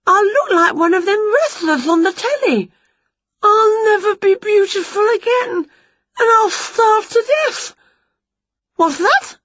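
A woman voicing an excitable, dramatic female witch in a storybook, with a shrill, theatrical manner, in a dull, lo-fi recording. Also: a little slow, dry recording. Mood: wailing. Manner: shrill, theatrical, over-the-top. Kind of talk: storybook character voice.

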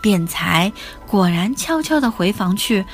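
A woman tells a children's story at a slow, careful pace. Her narration is expressive and explains things simply, with soft music playing behind her. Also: medium pitch. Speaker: children's storyteller, show host.

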